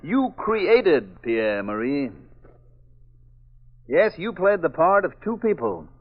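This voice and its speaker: An actor playing a tough, cocky sleuth in a 1940s radio mystery, with a clipped, commanding way of talking. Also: male voice, a little high, big pitch swings, slow pace, exaggerated voice. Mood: accusing. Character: tough, cocky male amateur sleuth husband. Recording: dull, lo-fi old radio recording.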